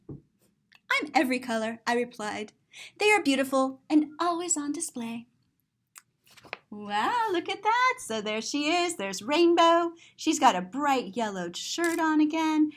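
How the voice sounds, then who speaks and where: A woman's voice: high voice, fairly bright tone. A woman reading a children's picture book aloud as a story-time host, expressively and with simple explanations for young listeners.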